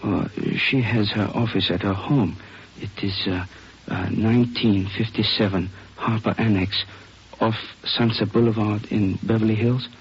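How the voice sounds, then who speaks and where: An actor playing a courteous, injured Chinese jade dealer in a vintage radio drama, speaking formally and hesitantly with careful wording. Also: male voice, on the low side, fairly even intonation, a little slow, slightly rough, dull audio. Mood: polite, hesitant. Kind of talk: 1950s radio drama acting.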